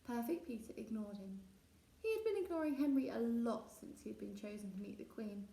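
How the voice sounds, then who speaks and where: A woman's voice: a little high, lively intonation, a little fast, vocal fry, warm tone. A woman narrating a children's story expressively as a storyteller. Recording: roomy echo, distant mic.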